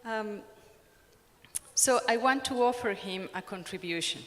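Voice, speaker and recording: A woman from Ecuador with an Ecuadorian accent, giving an academic conference talk in a halting way, with frequent pauses and restarts. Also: mid-range voice, fairly bright tone, big-room sound. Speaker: conference speaker.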